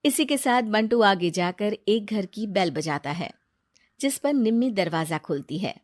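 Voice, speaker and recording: A woman's voice: medium pitch, expressive, unhurried, dry recording. Female storyteller with dry, close sound. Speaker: story narrator. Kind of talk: scripted moral-story narration.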